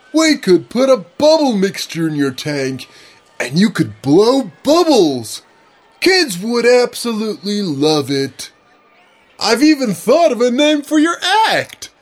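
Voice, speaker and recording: A man's voice: high-pitched, voice pushed up, heavily acted. A man voicing a jolly, friendly circus ringmaster with a hearty showman's delivery in a children's story.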